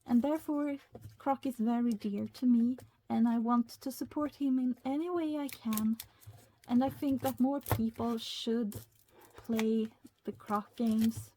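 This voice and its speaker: A woman from Sweden with a Swedish accent, speaking English as a second language, chatting excitedly as she unboxes a retro game and reads the packaging aloud. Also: fairly high pitch, slight background noise, rough recording. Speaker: YouTuber. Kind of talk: casual unboxing chat.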